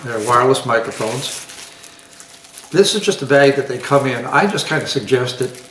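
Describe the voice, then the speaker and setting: A man's voice: mid-range voice, expressive, normal speed, fairly bright tone, a little hiss or hum. Echoey older man, easygoing. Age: 60s or older. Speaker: product reviewer. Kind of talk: casual product demonstration. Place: home dining room, indoors. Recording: echoey room, distant microphone.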